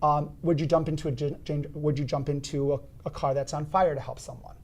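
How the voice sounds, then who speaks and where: A man explaining CPR steps as an instructor in a podcast studio, with a loud, emphatic teaching voice and frequent restarts. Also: fairly high pitch, fairly even intonation, brisk.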